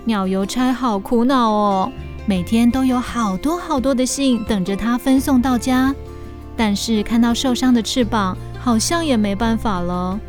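A woman narrating a scripted children's story in an expressive storytelling manner. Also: fairly high pitch, a little slow, faint music behind.

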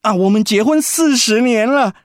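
A man's voice: very high-pitched, moderate pace, no room sound, clean studio sound. A man playing a doting, practical retired husband and grandfather with a Taiwanese Mandarin accent in a radio drama. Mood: puzzled, admiring.

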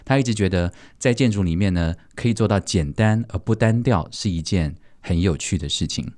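A man's voice: low-pitched, brisk, darkish tone. A man hosting a podcast in a casual, playful, teasing way, chatting to guests and listeners. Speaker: podcast host.

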